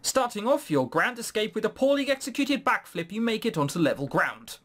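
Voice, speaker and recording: A man's voice: high voice, very animated, fairly quick, fairly bright tone. A man giving casual, sarcastic gaming commentary with some swearing, sometimes reading game text aloud. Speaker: let's play host.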